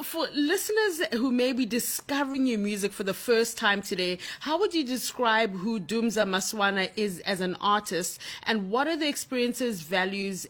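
A woman's voice: medium pitch, fairly bright tone. A woman with a Ugandan accent hosting a radio interview show, bubbly and upbeat. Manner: bubbly, upbeat, quick to laugh. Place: studio in Ghana.

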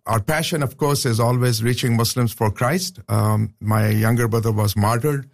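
A man's voice: medium pitch, moderate pace, creaky. A man from Pakistan, a professor talking earnestly as a radio studio guest, often restarting his sentences. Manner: earnest, with frequent restarts and "uh" fillers. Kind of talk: casual radio interview.

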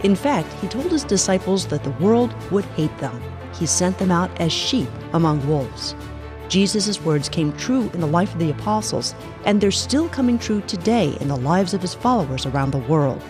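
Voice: medium pitch, expressive, moderate pace. An announcer delivering scripted narration for the introduction of a radio program. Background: music.

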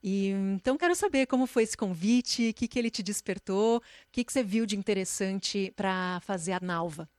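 A woman's voice: mid-range voice, normal speed, few pauses, a little mellow, no room sound, clean studio sound. A woman interviewer asking questions in a conversational broadcast interview.

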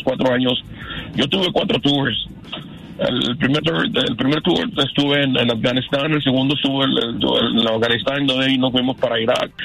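Gravelly Mexican-accented man in his fifties. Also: medium pitch, fairly bright tone, poor audio. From Canada. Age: 50s. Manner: halting, repetitive, earnest. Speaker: radio show caller. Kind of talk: personal testimony in conversation.

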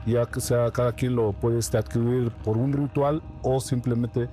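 A man with a Mexican Spanish accent, speaking as a guest painter on a radio show. His voice is mellow and warm, his pace unhurried, with fillers now and then. Faint music sits under him. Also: mid-range voice. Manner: calm, unhurried, many fillers. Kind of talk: radio interview.

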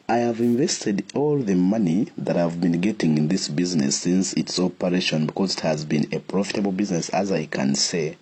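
A man telling his own story in a steady, matter-of-fact way. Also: sits low, slightly rough. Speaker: community leader. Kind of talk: personal testimony.

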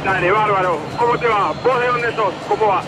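A man's voice: high voice, brisk, loud music behind the voice, low-quality recording. A man with an Argentine accent working as a live radio field reporter at a football match. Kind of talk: live team announcements and fan interviews. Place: in the stands of a football stadium. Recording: loud noise of stadium and engines.

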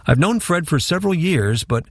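A man hosting a radio interview program who reads a measured, scripted introduction. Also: on the high side, expressive, breathy edge, no echo, clean studio sound. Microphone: studio microphone.

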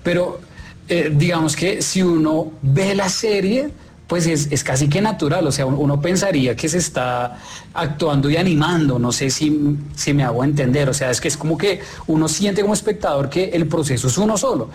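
A man interviewing in a conversational but formal, polite way, feeling his way through his questions with halting phrasing. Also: fairly high pitch, darkish tone.

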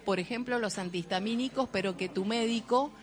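A woman's voice: medium pitch, a little fast. Chatty Argentine woman doctor on radio. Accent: Argentine Spanish. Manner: lively, explanatory, chatty. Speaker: doctor giving health advice on radio. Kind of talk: radio health talk. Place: radio studio.

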